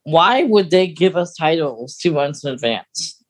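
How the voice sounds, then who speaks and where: Male podcast co-host, higher-than-average pitch, relaxed conversational delivery. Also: measured pace. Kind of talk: casual podcast chat.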